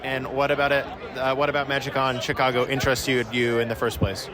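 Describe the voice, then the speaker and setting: A man's voice: mid-range voice, level tone, quick pace. A man casually interviewing attendees on a convention floor, asking questions in a joking, offhand way. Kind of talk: casual vox-pop interviews. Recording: crowd noise on a convention floor.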